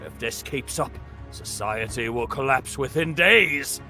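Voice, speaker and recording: A man's voice: mid-range voice, lively intonation, a little slow, over music. A man narrating a comic book table read in a dramatic, announcer-like cartoon style.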